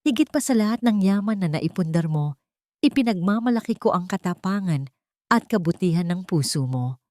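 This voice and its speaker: A woman voicing a gentle, proud, loving elderly mother in a story, speaking softly. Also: fairly low pitch, lively intonation, moderate pace, no room sound, clean studio sound, natural voice. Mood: proud, tender. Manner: soft, tender.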